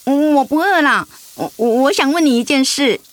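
A woman's voice: high voice, expressive, moderate pace, dry recording. An actress playing a frightened, anxious middle-aged mother who is ill, in a radio drama. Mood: urgent. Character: frightened, anxious mother facing cancer treatment.

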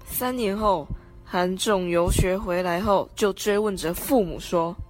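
A woman's voice: mid-range voice, unhurried, darkish tone, faint music behind. A teenage girl narrating a scripted story for a student radio drama. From Taiwan. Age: teens.

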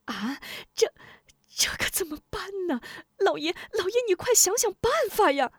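A woman voicing a frantic official's wife in a told story, stammering and pleading in a shrill, anxious way. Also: sing-song, unhurried, dry recording, clean studio sound, heavily acted. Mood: panicked.

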